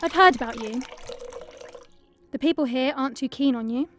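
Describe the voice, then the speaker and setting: An actress voicing a brash, hard-drinking princess who hunts monsters. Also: female voice, sits high, moderate pace, a little hiss or hum, natural voice. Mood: sardonic. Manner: cocky, crude, sardonic.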